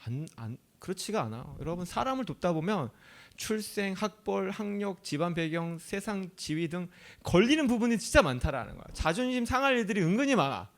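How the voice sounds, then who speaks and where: A man's voice: sits high, lively intonation, brisk. A male pastor from Chungcheong, South Korea, preaching a sermon in a conversational way. He often asks the audience questions and laughs easily.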